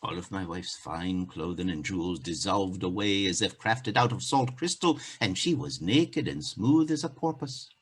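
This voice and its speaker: A man's voice: deep voice, animated, moderate pace, few pauses, slightly rough. A man telling a folktale as a theatrical monologue, with dramatic, declamatory phrasing. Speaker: actor telling a folktale in a play.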